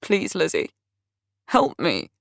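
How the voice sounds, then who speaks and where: A woman voicing a volatile, self-pitying man who rants and complains loudly. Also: fairly low pitch, huge pitch swings, slow pace, vocal fry, dry recording, studio quality, heavily acted. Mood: pleading, self-pitying. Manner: ranting, raised and strained.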